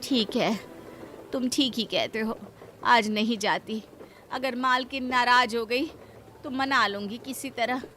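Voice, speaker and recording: A woman voicing a meek, poor village housemaid in an animated moral story, deferential and pleading. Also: a little high, slow, slight background noise. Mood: resigned.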